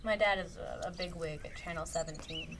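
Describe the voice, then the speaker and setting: A woman playing a gentle, earnest, nerdy young larper in an audio drama. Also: lowish voice, steady tone, a little fast. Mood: confiding. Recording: music under the voice.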